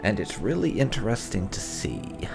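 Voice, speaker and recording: A man's voice: on the low side, big pitch swings, fairly quick, creaky, music in the background. A man hosting a gaming video with casual, explanatory playthrough commentary, wandering into emphatic asides.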